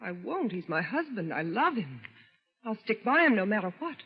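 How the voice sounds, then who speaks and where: A woman's voice: medium pitch, very animated, normal speed, husky. A woman playing a devoted, defiant wife in a vintage radio drama, with earnest, insistent, theatrical delivery. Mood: defensive, pleading. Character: devoted, defiant young wife of an unstable husband. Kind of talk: mid-century American radio drama acting. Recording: vintage radio, muffled, long reverb.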